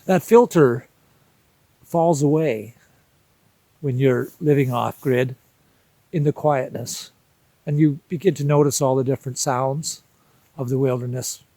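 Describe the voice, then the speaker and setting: A man, speaking a reflective personal monologue in an unhurried, contemplative way with deliberate pauses. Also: medium pitch, dark tone, no echo. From Canada. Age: 60s. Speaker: vlog host.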